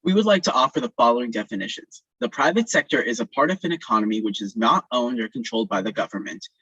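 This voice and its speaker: Teenage boy, husky voice, dry dull audio. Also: medium pitch, very animated, fast talker, bright, forceful tone, dry recording. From Texas, United States. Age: teens. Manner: reads definitions steadily, small slips. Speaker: student debater. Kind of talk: reading a prepared debate speech.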